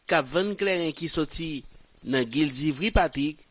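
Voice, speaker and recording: A man reading a news report as voice-over in a measured way. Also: a little high, fairly bright tone, slight background noise.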